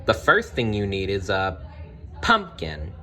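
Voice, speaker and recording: A man voicing a kindly, absent-minded little fairy godmother in a storybook, gentle and encouraging. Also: on the low side, huge pitch swings, a little slow, heavily acted. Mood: kindly, brisk.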